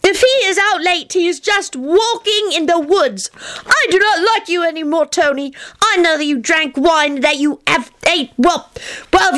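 A woman's voice: extremely high pitch, bright, forceful tone, voice pushed up, exaggerated voice. A woman voicing Teddy, an earnest, sulky young boy who speaks with indignant feeling. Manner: indignant, earnest child talk.

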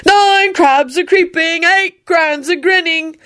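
A loud, petulant drunk young man, voiced by a woman narrator in a storybook. The voice rides high and swoops freely, bellowing demands, sulking, and sliding into sing-song. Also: very animated, a little fast. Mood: sing-song, boisterous.

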